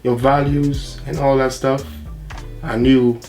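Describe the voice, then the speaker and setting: A young man co-hosting a casual couple's video, soft-spoken and halting, with many pauses, and his voice is going as he gets sick. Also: medium pitch, flat delivery, soft background music. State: losing his voice, getting sick. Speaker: vlog co-host.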